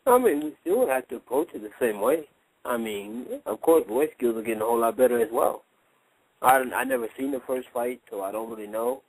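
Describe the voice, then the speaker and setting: A man's voice: mid-range voice, lively intonation, a little fast, a little mellow, rough recording. A man who trains boxers, speaking on a radio show in a plain, casual, unpolished way. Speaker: boxing trainer and co-host. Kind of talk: radio interview.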